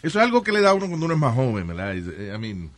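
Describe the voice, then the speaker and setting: A male radio host telling stories in casual, animated chat, switching between languages and laughing. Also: mid-range voice, rapid-fire, dry recording.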